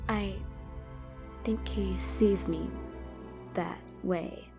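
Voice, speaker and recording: A woman's voice: mid-range voice, fairly even intonation, extremely slow, gravelly, over music. A woman playing an earnest, loyal police officer in an audio crime drama. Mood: guarded, earnest.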